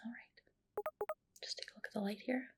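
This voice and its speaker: A woman whispering softly in an ASMR roleplay as a clinic doctor, gentle, polite and reassuring, with a breathy delivery. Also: fairly quick. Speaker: ASMR host roleplaying a dermatologist. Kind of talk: soft whispered ASMR personal-attention roleplay.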